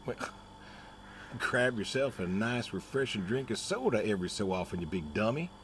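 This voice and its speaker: An actor playing a quiet, stubborn middle-aged farmer in a game, talking in a folksy, halting, understated way. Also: male voice, fairly low pitch, very animated, a little hiss or hum. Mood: gentle, proud. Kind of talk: naturalistic dramatic game dialogue.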